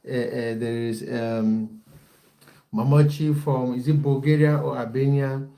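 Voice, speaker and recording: Man, football coach hosting a livestream; mellow, relaxed tone, direct and repetitive with viewers. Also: mid-range voice, a little slow, vocal fry, poor audio. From Cameroon. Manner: repeats phrases, talks straight to viewers. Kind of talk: livestream chat, reading out viewer comments.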